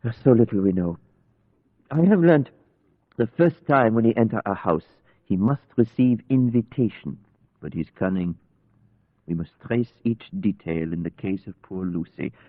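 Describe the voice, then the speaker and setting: A man playing an earnest, probing foreign professor with a continental European accent and deliberate manner in an old-time radio play. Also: on the low side, lively intonation, no room sound. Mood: probing concern. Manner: deliberate, inquisitive, foreign word order. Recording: tinny band-limited old radio recording.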